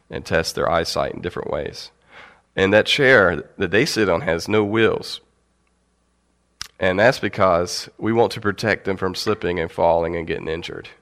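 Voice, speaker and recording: A young man with a cold giving a casual, halting Bible teaching to his church, with fillers and light laughs. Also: low voice, vocal fry, fairly bright tone. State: has a cold.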